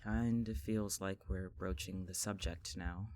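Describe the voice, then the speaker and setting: An actor playing a bitter, stubborn friend in an audio drama, speaking in a blunt, sardonic and natural conversational way. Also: male voice, low voice, fairly even intonation, unhurried, a little mellow, dry recording. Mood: resigned, guarded.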